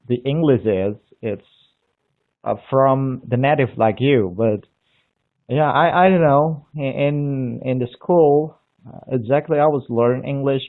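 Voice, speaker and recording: A man from Indonesia, speaking English with an Indonesian accent in casual chat, halting and restarting often as he searches for words. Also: mid-range voice. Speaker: language learner on a live video call. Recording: tinny phone-like line.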